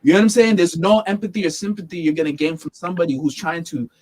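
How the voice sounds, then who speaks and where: Podcast host, young man, fairly high-pitched, talks in animated bursts and repeats himself to drive points home. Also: fast talker. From United States. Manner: animated, emphatic, repeats words while building points. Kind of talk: casual podcast discussion.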